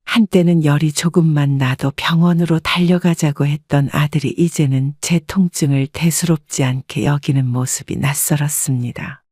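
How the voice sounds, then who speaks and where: A woman narrating a first-person life story in a calm, measured, reflective way. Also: deep voice, no echo, studio quality.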